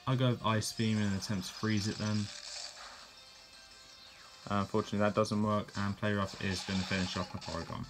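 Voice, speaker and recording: Low-voiced man narrating a game battle. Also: a little fast, pauses often, over music. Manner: relaxed, plain narration with fillers and self-corrections. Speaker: gameplay commentator. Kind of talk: casual play-by-play of a game battle.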